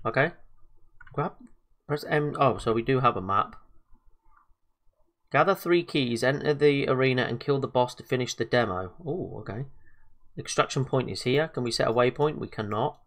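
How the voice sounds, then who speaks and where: A man's voice: mid-range voice, moderate pace, long pauses. Man, slightly stuffy from a cold, casual gaming commentary, hesitant, chatty. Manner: chatty, hesitant, lots of fillers and self-talk. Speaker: gaming video host. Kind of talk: casual let's-play commentary.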